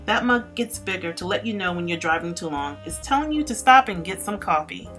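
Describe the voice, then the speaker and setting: A woman's voice: on the low side, expressive, fairly quick, a bit bright, soft background music. A woman vlogger chatting upbeat to her viewers in a voice-over tour. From Florida. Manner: chatty and upbeat, addressing viewers as you guys. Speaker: lifestyle vlogger.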